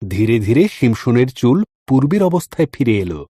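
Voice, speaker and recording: A man's voice: medium pitch, sing-song, normal speed, dark tone, slightly rough, no echo, studio quality. A man narrating a scripted story in a dramatic storytelling style. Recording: music under the voice. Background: background music.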